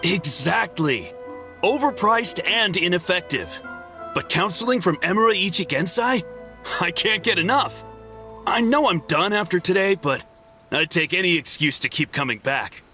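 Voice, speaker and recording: A man's voice: high voice, expressive, moderate pace, sharp tone, soft background music. An actor playing a haughty, easily offended male client in a game who praises his counselor. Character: devoted male client, snobbish and touchy. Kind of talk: game voice acting.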